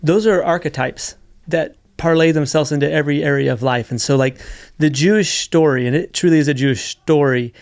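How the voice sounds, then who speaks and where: A man's voice: fairly high pitch, brisk, hardly any pauses. A man co-hosting a podcast, talking conversationally in an earnest, explanatory manner. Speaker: podcast co-host.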